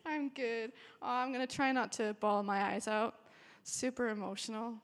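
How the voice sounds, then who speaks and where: A woman in her forties with a high, bright voice gives a warm, chatty welcome to a church retreat gathering. She sounds emotional and breaks into cheering bursts. Her voice rings out with a long reverb in a big hall. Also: fairly even intonation, normal speed. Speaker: worship director. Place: large hall with a live audience.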